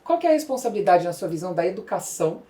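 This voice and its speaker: A woman's voice: on the low side, sing-song, very fast, dark tone. A woman with a Brazilian Portuguese accent, hosting a conversational interview and explaining her points through repetition and lists. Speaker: podcast host, interviewer. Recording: roomy echo.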